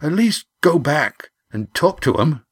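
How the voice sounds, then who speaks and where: A man voicing a wounded, stubborn sailor in a storybook, speaking softly and tersely with stoic restraint. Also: a little high, very animated, unhurried, breathy edge, no room sound, studio quality, pitched above the performer's own voice, full character voice. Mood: stoic, urging. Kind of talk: audiobook character acting.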